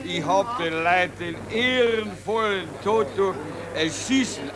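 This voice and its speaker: An actor playing a self-righteous, callous Austrian military judge in a 1940s German radio drama. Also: male voice, high voice, unhurried. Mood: smug, righteous. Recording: old radio recording, crowd noise.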